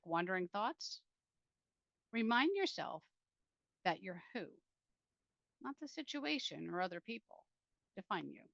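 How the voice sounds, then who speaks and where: A woman author presenting and reading from her self-help book in an earnest, deliberate way, speaking directly to the listener. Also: mid-range voice, fairly bright tone, no room sound, highs cut off.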